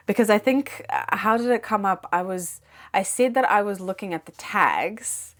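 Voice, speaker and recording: A woman's voice: medium pitch, expressive, moderate pace, a bit bright. A woman vlogger chatting casually at home, rambling with lots of fillers and restarts; English is not her first language. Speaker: plant vlogger. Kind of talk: casual chat. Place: home, indoors.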